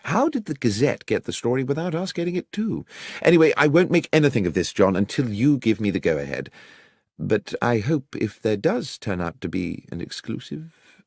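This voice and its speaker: A man with a British accent voicing an anxious, friendly Victorian gentleman journalist in an audiobook, earnest and genteel. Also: medium pitch, big pitch swings, normal speed, creaky, breathy edge, no room sound, clean studio sound, voice pushed up, heavily acted. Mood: confiding.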